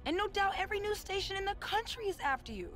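Girlfriend: young, anxious, pleading, high animated voice. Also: quick pace, soft background music. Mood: worried.

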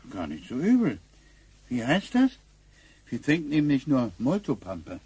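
A man's voice: mid-range voice, huge pitch swings, moderate pace, mellow, breathy edge, dry recording, full character voice. A man playing an anxious, kindly grandfather from the future, flustered and polite, in a radio play. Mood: amazed.

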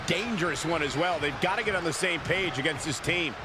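A man's voice: fairly high pitch, fairly quick, lightly acted. A man playing a lead wrestling commentator in a video game, calling the action dramatically and emphatically, often repeating phrases. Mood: tense commentary. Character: excitable male wrestling announcer, dramatic and indignant. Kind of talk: sports commentary. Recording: loud arena crowd and noise behind. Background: roaring crowd, wrestling arena sounds.

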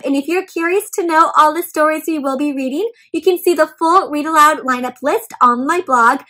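A young woman with a high voice hosts story time for little kids. She speaks in an animated, expressive way, pausing to ask the children questions as she reads. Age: young adult. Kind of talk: interactive read-aloud for young children. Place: small indoor room at home with bookshelves and plants.

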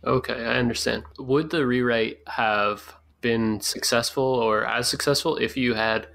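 A man's voice: mid-range voice, fairly even intonation, a little slow, gravelly, a bit bright. A man hosting a podcast interview, asking questions in a conversational style.

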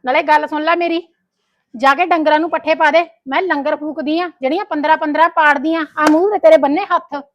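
A woman's voice: high-pitched, steady tone, a little fast, highs cut off, a little hiss or hum, lightly acted. A woman playing a spiteful sister-in-law in a family drama, nagging, accusing and sarcastic. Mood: scornful, scolding. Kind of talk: Punjabi domestic drama dialogue.

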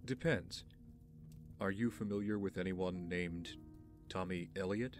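A man playing an aloof, wry young billionaire in an audio drama, speaking in a dry, measured, haughty way. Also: low voice, soft background music. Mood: reflective, wry.

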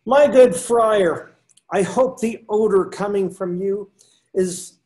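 An older man voicing a pious young friar who loves books, reading slowly and earnestly over a video call. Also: sits high, a little mellow, a little hiss or hum. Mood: solemn.

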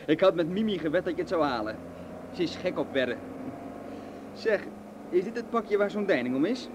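A man's voice: high-pitched, lively intonation, moderate pace, pauses often, noisy background. An actor playing a jovial, smugly menacing henchman in a radio drama, falsely friendly and prone to chuckling. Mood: gloating joviality.

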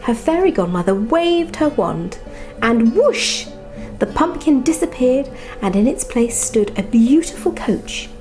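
A woman reading a bedtime storybook softly and expressively, with chatty asides about the pictures. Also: a little high. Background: music.

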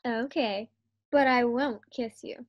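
Casting: an actress as a coy, scatterbrained young wife for a 1940s radio sitcom, high voice. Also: female voice, takes it slowly, muffled recording. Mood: teasing. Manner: teasing, coy.

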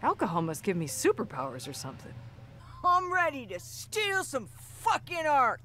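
A foul-mouthed boozy brawler. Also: female voice, high-pitched, huge pitch swings, moderate pace, pushed and bright, slight background noise, full character voice. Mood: brash. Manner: crude, swearing, wisecracking.

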